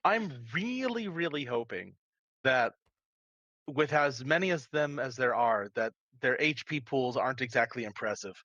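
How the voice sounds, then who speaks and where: A man chats as a player in a tabletop game, wry and prone to chuckling. His voice is bright and swings around in pitch, though he speaks at an easy, slower pace. The microphone sounds slightly dull. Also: animated, dry recording. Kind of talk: casual, joking table chat.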